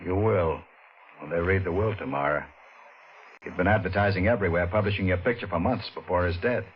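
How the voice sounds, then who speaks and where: An actor playing a smooth, menacing schemer who drops to a low murmur, in 1940s radio acting. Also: male voice, fairly quick, close to an everyday voice. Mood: sly. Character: smooth sinister older male schemer. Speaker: scheming villain. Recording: dull noisy old radio recording.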